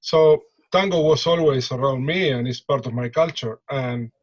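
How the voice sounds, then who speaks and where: Husky Argentine man with muffled sound. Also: mid-range voice. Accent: Argentine Spanish. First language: Spanish. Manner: halting, repeats words, non-native English phrasing. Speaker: musician, percussionist and drummer interviewed. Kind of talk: casual conversational interview.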